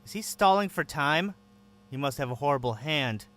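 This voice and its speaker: A man's voice: a little high, expressive, normal speed, a bit bright. Tense first-person male narrator. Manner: tense, wary inner monologue. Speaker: narrator and captive lead.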